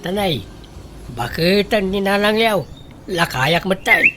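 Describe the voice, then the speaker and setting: A woman's voice: animated, slow pace, a bit bright. A woman playing a lazy, weary old husband who grumbles and makes excuses in an Ilocano comedy radio drama. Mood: weary, protesting. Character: lazy, weary old husband dodging tricycle driving work. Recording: very noisy, vehicle and ambient rumble.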